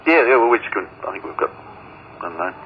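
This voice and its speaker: A man's voice: lowish voice, big pitch swings, racing. A man chatting casually as a guest in a radio interview, informal and wry. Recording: dull lo-fi radio audio with noise.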